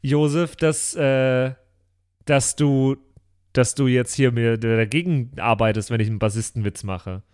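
A man's voice: mid-range voice, fairly even intonation, unhurried, a little mellow, smooth, no room sound, clean studio sound. A man chatting casually and bantering as a player in a tabletop game.

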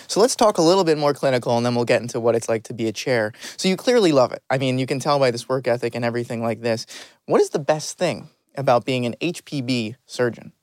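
A man's voice: mid-range voice, lively intonation, quick pace, dry recording. Curious male interviewer, conversational. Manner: curious, conversational, admiring questions. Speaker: podcast host, interviewer. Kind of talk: interview questions.

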